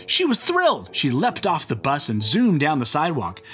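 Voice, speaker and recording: A man in his thirties narrates a children's picture book with very lively, swooping intonation. His delivery is playful and comedic, over faint music. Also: fairly high pitch, moderate pace. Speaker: storytime host reading aloud.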